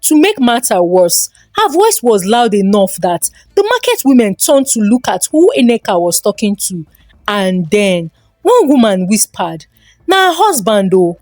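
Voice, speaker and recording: A woman storyteller with a Nigerian accent, giving an expressive, dramatic narration of a scripted folktale. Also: mid-range voice, unhurried, dry recording, studio quality.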